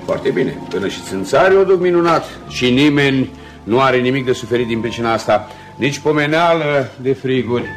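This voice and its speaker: A man's voice: medium pitch, expressive, close to an everyday voice. An actor playing a dry, sardonic man who needles others with ironic understatement in a radio play with music. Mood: sarcastic. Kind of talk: Romanian radio theatre acting.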